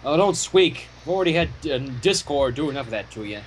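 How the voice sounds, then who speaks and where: A man with a fairly high, very animated voice reads a story aloud. He is expressive and drops in casual commentary. There is some noise in the recording. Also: fast talker, rough recording. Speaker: fan fiction reader. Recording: home microphone with clear noise.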